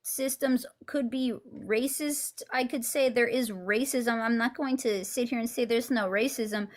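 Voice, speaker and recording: A woman's voice: a little high, unhurried. A woman from California in a heated call-in debate, defensive and rambling.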